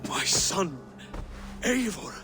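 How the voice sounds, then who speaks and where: A man's voice: high-pitched, lively intonation, extremely slow, heavily acted. An actor playing an older Norse lord and father in a video game, proud and stately, with formal phrasing. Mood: welcoming. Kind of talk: dramatic video game cutscene acting. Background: music.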